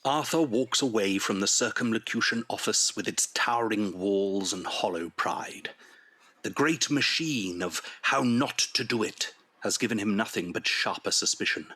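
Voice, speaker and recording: Low, bright-voiced male dramatic narrator. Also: normal speed, breathy edge.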